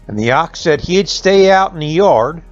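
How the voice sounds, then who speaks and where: Guy telling an old folk tale, country phrasing n little asides, bit high, soft music under. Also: animated, normal speed, highs cut off. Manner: rustic dialect phrasing, conversational asides. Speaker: storyteller. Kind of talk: folksy oral storytelling.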